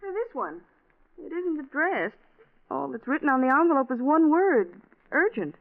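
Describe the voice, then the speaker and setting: High, lilting, dutiful young daughter. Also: female voice, big pitch swings, moderate pace. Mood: puzzled, brisk. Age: young adult. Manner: polite, solicitous, genteel. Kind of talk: 1940s radio acting. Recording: tinny vintage radio recording.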